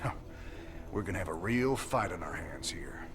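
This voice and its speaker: An actor playing a tough, weary frontier rebel leader with a Southern US accent in a video game. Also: male voice, low-pitched, expressive, moderate pace, over music. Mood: grim, resolute.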